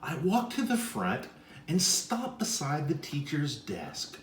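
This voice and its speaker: A man reading a children's story aloud as narrator in an expressive style. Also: on the high side, takes it slowly, a little hiss or hum. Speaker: storybook reader. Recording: echoey room sound.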